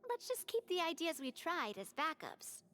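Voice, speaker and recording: A woman's voice: very high-pitched, sing-song, normal speed, a bit bright, full character voice. A young woman voicing a friendly, assured gang leader in a video game, speaking earnestly.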